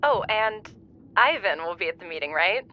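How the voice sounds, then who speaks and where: An actress playing a flirtatious, insinuating client on a phone call in an audio sitcom. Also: female voice, mid-range voice, expressive, moderate pace, slight background noise, natural voice. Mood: coy.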